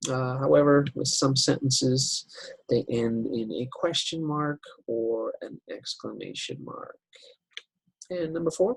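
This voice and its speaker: A man teaching an English writing lesson over slides, unhurried and step by step, with many fillers. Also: mid-range voice, gravelly, husky, no room sound, low-quality recording.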